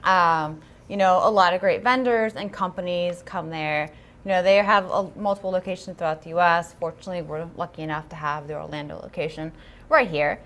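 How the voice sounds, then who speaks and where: A woman's voice: lowish voice, steady tone, brisk, fairly bright tone. A woman co-hosting a casual livestream, chatty and upbeat, with plenty of ums and a self-deprecating streak. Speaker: livestream co-host.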